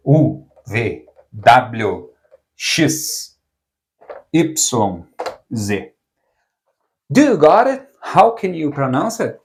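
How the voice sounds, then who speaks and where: A man from southern Brazil, a native Portuguese speaker with a Brazilian accent, giving a casual online language lesson in rambling English that he often corrects as he goes. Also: medium pitch. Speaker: language teacher.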